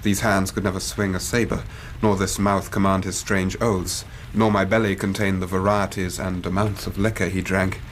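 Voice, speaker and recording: An actor playing an earnest, well-spoken English gentleman in a radio drama, formal and measured as he tells his story. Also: deep voice, little pitch movement, lightly acted, rough recording. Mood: reflective. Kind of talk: radio drama acting, literary narration. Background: storm wind ambience.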